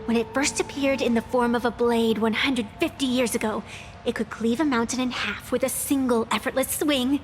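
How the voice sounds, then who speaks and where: Female actor as young arbiter girl, very high voice, boastful, lightly indignant, theatrical game acting, clear music underneath. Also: fairly even intonation, normal speed, natural voice. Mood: proud, playful. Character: proud, playful young female arbiter with schoolgirl charm.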